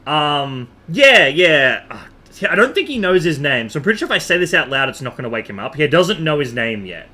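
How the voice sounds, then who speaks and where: Male livestreamer: bright, lively, swooping delivery, casual rambling chat with repetition for emphasis. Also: on the high side, brisk. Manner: animated, rambling, repeats himself for emphasis. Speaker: game livestreamer.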